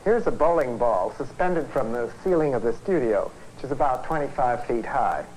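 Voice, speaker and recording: A man, a physics professor, presenting an educational film lecture in a measured, conversational, explanatory way. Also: medium pitch, expressive. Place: film studio. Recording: noisy 1950s educational film soundtrack.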